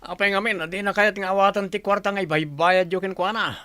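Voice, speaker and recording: A man's voice: high voice, fairly even intonation, brisk, bright, forceful tone, no room sound. An actor with an Ilocano accent playing an eager, fawning hired henchman in a radio drama. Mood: sly.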